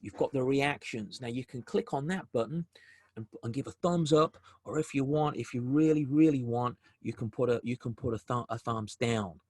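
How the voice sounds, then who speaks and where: A man's voice: mid-range voice, normal speed, a little mellow, slightly rough, no echo. A man with a South African accent presenting a business webinar over a video call, often repeating words and restarting phrases as he goes.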